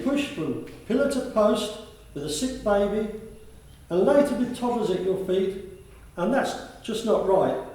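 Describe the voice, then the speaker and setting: Echoey actor as high-pitched, courteous male school officer. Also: a little mellow. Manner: courteous, formal address, talkative. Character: kindly, chatty male school attendance officer, polite and concerned. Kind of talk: radio play acting. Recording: very echoey room, distant from the mic.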